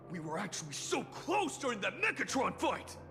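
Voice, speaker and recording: A woman's voice: medium pitch, big pitch swings, measured pace. A woman playing a boisterous, boastful young masked hero who leads a squad in a video game, with a teasing, theatrical manner. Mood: excited. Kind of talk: animated game voice acting. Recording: long reverb, clear music behind.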